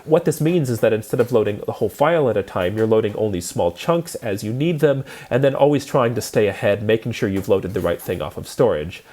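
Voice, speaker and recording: A man's voice: fairly low pitch, lively intonation, a little fast, few pauses, darkish tone. A man lecturing in a methodical, explanatory way, as if walking students through a programming lesson step by step.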